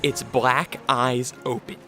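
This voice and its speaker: A man's voice: medium pitch, level tone, slow pace, over music. A man narrating a radio drama in a solemn, dramatic storyteller's style.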